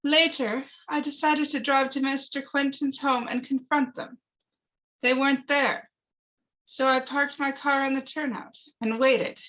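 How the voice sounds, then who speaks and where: A woman playing a bitter, measured man testifying at his murder trial in a dramatic screenplay reading, on a lo-fi recording. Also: sits high, steady tone, a bit bright. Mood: measured, confessional. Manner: measured, defensive. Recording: dull, lo-fi recording.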